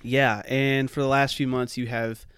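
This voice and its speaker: Smooth-voiced male podcast host. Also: medium pitch, flat delivery, unhurried, fairly bright tone, no echo. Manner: chatty, filler-heavy, swears casually. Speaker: podcast host, film director. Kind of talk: casual podcast chat.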